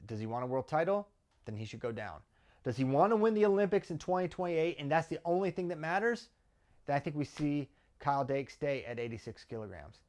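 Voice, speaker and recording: A man's voice: fairly high pitch, lively intonation, a little fast, a little mellow. A man with an American accent giving conversational sports analysis as a host, often posing questions and answering them himself. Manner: argues through rhetorical questions and tag questions. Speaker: wrestling commentator and host.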